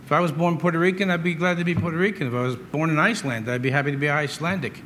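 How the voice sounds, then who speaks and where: A man's voice: on the high side, very fast, fairly bright tone, slight background noise. An American man preaching a conversational sermon, emphatic, with repetition and rhetorical questions. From United States. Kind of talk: sermon, partly conversational with scripture readings.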